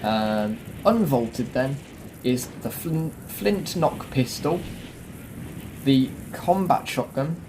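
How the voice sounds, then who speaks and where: Male gaming host, mid-pitched, casual commentary, clear vehicle and game noise underneath. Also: animated, takes it slowly. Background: game ambience and vehicle noise.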